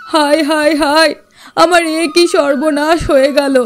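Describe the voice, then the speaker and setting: A woman's voice: sits high, little pitch movement, normal speed, music in the background, natural voice. A woman voicing a remorseful, lamenting king who has lost everything, in melodramatic cartoon fairy-tale style. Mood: despairing. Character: ruined, remorseful old king lamenting his fate.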